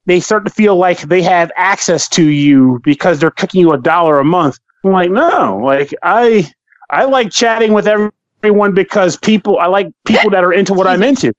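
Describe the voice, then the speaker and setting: Man, high voice, rambling casual podcast talk, swears a lot, dull dry audio. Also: no room sound. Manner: rambling, swears freely, animated rants. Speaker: podcast co-host.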